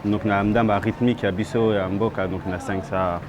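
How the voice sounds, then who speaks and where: A young man from France, a rapper being interviewed outdoors, talking in a halting way and switching between French and Lingala. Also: on the low side, fairly even intonation, a crowd in the background. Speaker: rapper guest. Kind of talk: street interview. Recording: outdoor street noise.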